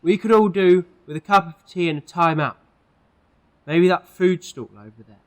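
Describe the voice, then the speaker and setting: A man's voice: high-pitched, moderate pace, darkish tone, natural voice. A man playing an eccentric, moralising alien time traveller in an audio drama, speaking earnestly and emphatically. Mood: calming, practical. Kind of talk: fan audio drama acting.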